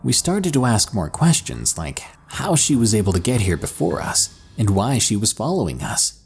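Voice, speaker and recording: A man reading first-person horror stories in a slow, hushed, dramatic storytelling manner. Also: fairly low pitch, sing-song, creaky, slightly rough. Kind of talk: scripted horror narration. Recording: faint music underneath. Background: soft background music.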